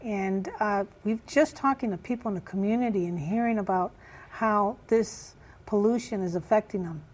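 A woman with a raspy voice, chair of a community group, answering interview questions earnestly with many pauses and fillers. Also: medium pitch, darkish tone. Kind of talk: news interview guest.

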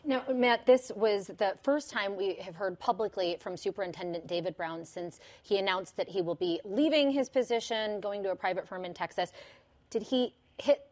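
A woman hosting a local news review program, reading headlines and then putting measured questions to a panel of journalists in a studio. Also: mid-range voice, a little mellow. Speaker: news show host and panel moderator. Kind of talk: broadcast news moderation.

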